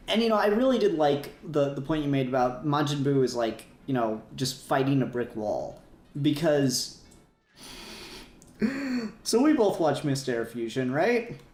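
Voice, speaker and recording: A man's voice: medium pitch, a little mellow, reverberant. A man hosting a casual fan podcast, talking in a rambling, enthusiastic way and swearing casually. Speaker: podcast host.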